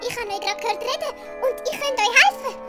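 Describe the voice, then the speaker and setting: A woman voicing a tiny, polite, squeaky mouse in a children's audiobook. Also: brisk. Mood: eager, pleading. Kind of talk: audiobook character acting. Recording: tinkling music behind the voice.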